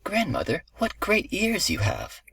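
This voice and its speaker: A man's voice: a little high, very animated, fairly quick, a bit bright, breathy edge, dry recording, clean studio sound, voice pushed up, full character voice. A man voicing an innocent, cheerful little village girl in a storybook tale, with a sweet and polite manner. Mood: astonished.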